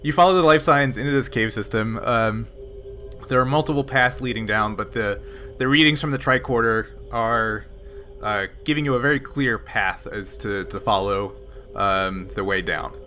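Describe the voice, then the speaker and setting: A man's voice: lowish voice, moderate pace, a little hiss or hum. A man narrating as game master of a tabletop role-playing game, with loose, filler-heavy description. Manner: relaxed, rambling narration with many fillers.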